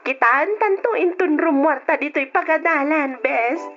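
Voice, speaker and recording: A cheerful young woman student, as an actress plays her, high-voiced and quick to laugh. The recording is dull and lo-fi, like an old radio drama. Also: over music. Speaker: student character.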